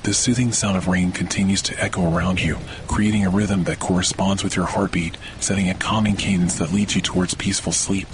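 A man hosting a guided sleep meditation, speaking in a slow, soothing, hushed way. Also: sits low, fairly even intonation, background noise. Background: faint rain sounds.

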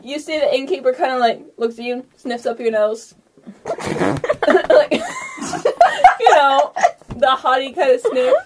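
High-voiced woman running a tabletop game. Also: very animated, takes it slowly, pauses often, very noisy. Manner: giggly, casual. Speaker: game master. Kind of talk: narrating a tabletop game among friends.

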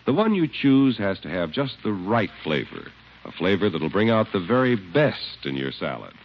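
A man announcing in 1950s radio style, reading scripted advertising and narration with emphatic salesman phrasing. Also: fairly low pitch. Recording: muffled 1950s radio recording, faint noise.

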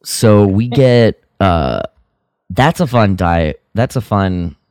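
A man co-hosting a podcast in casual review chat, halting as he thinks aloud and gives his opinions. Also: on the low side, animated, darkish tone, no echo, clean studio sound. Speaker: podcast co-host. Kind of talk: casual review chat about a radio drama.

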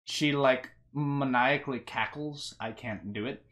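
A game master narrates a tabletop fantasy game in a voice of middling pitch. The delivery is improvised and conversational, halting often and stammering over words while describing the scene. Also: a bit bright.

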